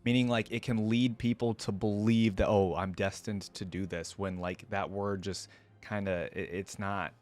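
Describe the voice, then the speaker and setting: A man's voice: on the low side, fairly even intonation, moderate pace, darkish tone. A man hosting a reaction video, giving casual fan commentary in an informal, earnest way. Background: music.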